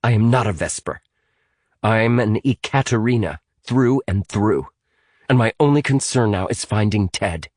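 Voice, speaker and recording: Man reading as a stiff, defensive teenage girl, husky. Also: on the low side, expressive, unhurried, a little mellow, dry recording, clean studio sound, voice pushed up. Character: stiff, determined teenage girl, distrusted ex-enemy in an adventure novel.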